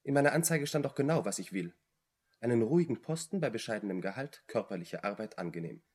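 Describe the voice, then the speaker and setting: Slightly husky young male job seeker. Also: medium pitch, expressive, quick pace, darkish tone. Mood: plaintive. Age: young adult. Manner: hesitant, politely obstinate. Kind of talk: German radio play acting.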